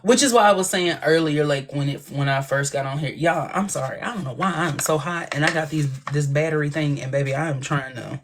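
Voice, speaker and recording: A woman's voice: sits low, fairly quick, few pauses. A woman in her thirties hosting a casual livestream, chatting in playful, affectionate slang with viewers and a guest. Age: 30s. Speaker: livestream host.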